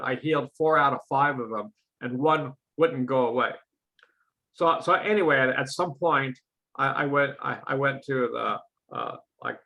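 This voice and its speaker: A man in a casual chat with a mellow voice who talks haltingly and repeats his words. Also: medium pitch, rough recording. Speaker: guest.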